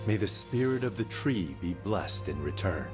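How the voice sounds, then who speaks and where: Formal, expressive honorable warrior. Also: male voice, low voice, normal speed, faint music behind. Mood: reverent. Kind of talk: animated story voice acting.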